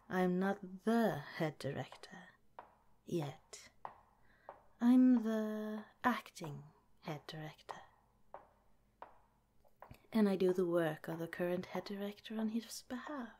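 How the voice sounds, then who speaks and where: Scheming, sweet lab director, whispery and unhurried, low and mellow; a woman voices her. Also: slight background noise. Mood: sly. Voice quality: soft and half-whispered. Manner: sweetly hospitable, with a scheming edge. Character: sly, gentle woman scientist running an underground lab. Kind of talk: ASMR roleplay.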